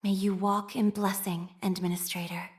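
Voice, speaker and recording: A woman acts out a young priestess of a data faith for a video game. She is humble and shy, with a mid-pitched voice. Her delivery is formal and measured, like scripture recited by someone who prefers machines to people. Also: little pitch movement, lightly acted. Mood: solemn, reverent. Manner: formal, measured, liturgical phrasing with hesitant shyness. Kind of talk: scripted game character voice lines.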